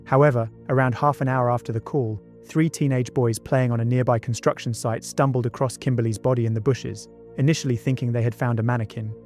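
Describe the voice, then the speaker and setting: A man's voice: medium pitch, steady tone, brisk, few pauses, darkish tone. A man narrating a true-crime documentary from a script, with conversational asides. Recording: music bed behind the voice. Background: background music.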